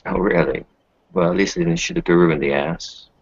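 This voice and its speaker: A man's voice: sits low, fairly even intonation, fairly quick, husky, muffled recording. A man playing a defensive, determined doctor in an improvised roleplaying game. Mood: reassuring. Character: defensive, determined male doctor arming himself with guns. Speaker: player in an online roleplaying session. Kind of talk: in-character improvised roleplay talk.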